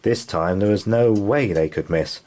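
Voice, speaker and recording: A man reading a children's bedtime story aloud in an animated storytelling style. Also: lowish voice, moderate pace, a little hiss or hum.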